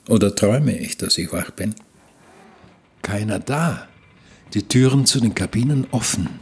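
Radio drama role: bewildered seafarer alone aboard, dreamlike and slow, low register. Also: male voice, darkish tone, slightly rough, full character voice. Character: bewildered male ship traveller, half dreaming, alone aboard. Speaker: actor in radio play. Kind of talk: slow, fragmented radio play monologue.

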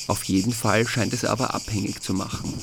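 A man from Austria reads a scripted first-person audio diary as narrator, in a measured, dryly wry way. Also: lowish voice, fairly even intonation, vocal fry, background noise.

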